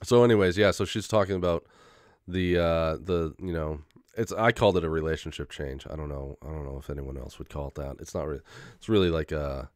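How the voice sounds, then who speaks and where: A young man with a very low, smooth and resonant voice talks in a loose, rambling way, stopping and restarting often and swearing casually. He is getting over a head cold and coughs now and then. The sound is dry and close, with no room around it. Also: a little fast, no room sound. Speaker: vlogger.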